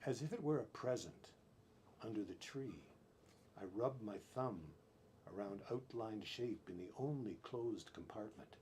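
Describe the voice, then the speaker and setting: A man performing spoken-word poetry as a poet, with deliberate, theatrical delivery in short phrases broken by pauses, on a live-stream recording. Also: on the low side, lively intonation, darkish tone, slight background noise. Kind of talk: dramatic spoken-word poetry reading, with brief chatty asides.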